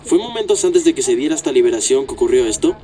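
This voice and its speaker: A man's voice: mid-range voice, animated, quick pace, dark tone, slight background noise, natural voice. A man acting a television news reporter who puts insistent, pointed questions in a dramatized broadcast interview. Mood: probing.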